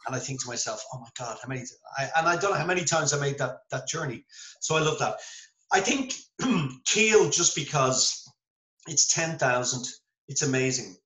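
Irish male guest, chatty raconteur, bright tone, roomy video-call sound. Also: medium pitch, normal speed. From Ireland. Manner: animated storyteller, self-deprecating. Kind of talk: casual video-call interview.

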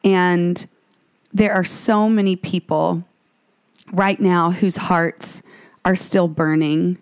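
A woman's voice: on the low side, fairly even intonation, slow, clear, resonant tone. A woman co-hosting a faith podcast, speaking earnestly and fervently, slipping into a preachy tone with many restarts. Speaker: podcast co-host.

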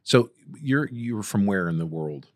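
Deep-voiced American man hosting an interview. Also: animated, a little slow, dry recording. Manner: interjects and probes with follow-up questions. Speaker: podcast host interviewer. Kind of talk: casual conversational interview.

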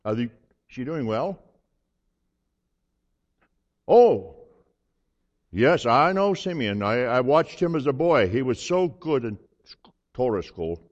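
A man's voice: mid-range voice, very animated, slow pace, long pauses. A man playing a jovial elderly priest who chuckles and fusses over his guest in a dramatic monologue. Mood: warm, chatty. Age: older. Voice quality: chuckling, hearty and hospitable. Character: jovial elderly male priest hosting a friend. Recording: reverberant hall, slightly dull sound.

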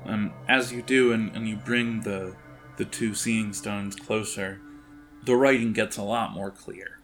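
Gravelly low-voiced man narrating a game. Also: fairly even intonation, fairly bright tone, soft background music. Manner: halting, descriptive delivery. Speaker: game master. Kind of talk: improvised tabletop game narration.

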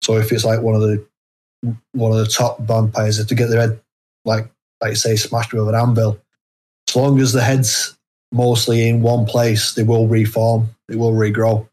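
A British man answering as an interview guest, explaining things casually in a hesitant, halting way. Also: fairly low pitch, completely flat delivery, darkish tone. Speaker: guest, indie horror author.

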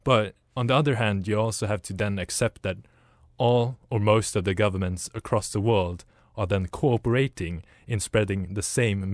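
A young man in his twenties reasons his way through an argument on student radio, with a dry wit. His voice sits low. The sound is close and dry. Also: no room sound. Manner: wry, reasoning aloud. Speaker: student co-host. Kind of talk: casual discussion.

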